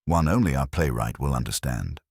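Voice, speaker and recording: A man voicing a keen, brooding master detective in an audio story, explaining in a deliberate, theatrical manner. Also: extremely low pitch, animated, a little mellow, husky, no room sound, studio quality, heavily acted. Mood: sly.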